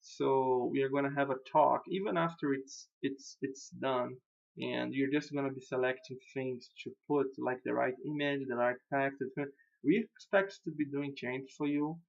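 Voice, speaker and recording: A man's voice: medium pitch, moderate pace, creaky, lo-fi sound. A man whose first language is Portuguese, speaking English casually as a web designer while walking a client through their website, repeating words and laughing at himself. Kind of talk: casual screen-recorded walkthrough.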